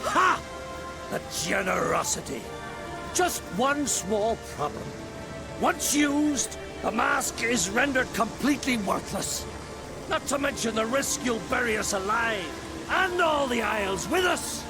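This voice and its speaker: Pompous, high-pitched druid. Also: male voice, animated, a little slow, exaggerated voice, rough recording. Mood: outraged. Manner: declamatory, pompous. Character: indignant, grandiose male druid, solemn lore-keeper. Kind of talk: video game cutscene acting. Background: music.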